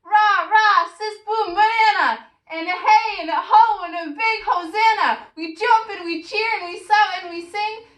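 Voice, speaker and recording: A woman's voice: extremely high pitch, a little slow, hardly any pauses, fairly bright tone. A woman voicing an eager, naive young donkey puppet in a children's puppet show. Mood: excited cheering. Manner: naive, eager, chanting cheers. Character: excitable young donkey puppet cheerleading for Jesus. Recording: roomy, distant from the mic.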